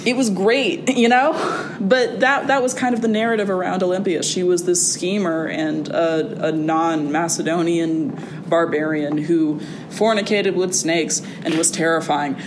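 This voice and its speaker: Wry, animated young woman with background rumble. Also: a little slow, few pauses. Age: young adult. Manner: animated, wry, frequent fillers and restarts. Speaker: guest, classics student. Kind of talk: casual interview conversation. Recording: audible background noise with a low rumble.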